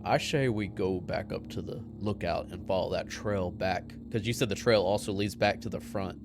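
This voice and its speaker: A man's voice: low voice, normal speed. A man taking part in a tabletop roleplaying game as a player, talking casually, partly in character. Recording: music behind the voice. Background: background music.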